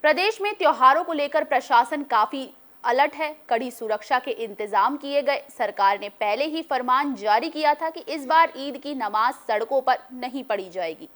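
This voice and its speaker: A woman's voice: high-pitched, animated, normal speed, a bit bright. A woman news anchor reading scripted bulletin narration in a formal manner.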